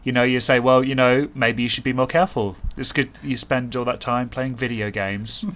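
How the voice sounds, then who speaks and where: A man's voice: medium pitch, fairly even intonation, moderate pace, fairly bright tone. A man co-hosting a casual comedic podcast, making dry, wry quips and laughing often. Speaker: podcast co-host.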